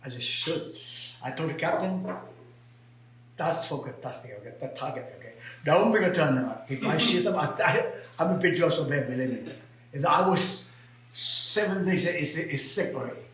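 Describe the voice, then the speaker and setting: An older man from Vietnam with a Vietnamese accent tells his life story in halting, broken English full of repeats, sometimes rising to emphatic outbursts. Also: on the high side, expressive, a bit bright, roomy sound, low-quality recording. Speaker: interviewee. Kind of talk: personal storytelling.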